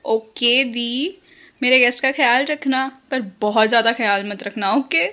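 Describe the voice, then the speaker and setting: Woman acting a bright, high-pitched teasing student. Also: fairly even intonation, measured pace. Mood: playful. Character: breezy, teasing female college student. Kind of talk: Hindi audio drama acting.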